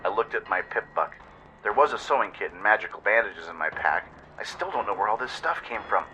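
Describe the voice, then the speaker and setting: A man's voice: lowish voice, expressive, brisk, pushed and bright, a little hiss or hum, poor audio. A man narrating a scripted dramatic first-person story in radio-play style, with an intense, theatrical delivery.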